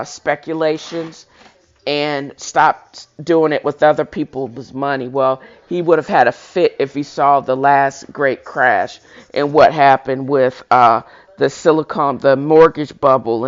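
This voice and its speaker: A person reading a historical speech aloud with informal commentary, stumbling now and then and correcting themselves, in a dull, lo-fi recording. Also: mid-range voice. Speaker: host of a reading show. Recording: dull lo-fi sound.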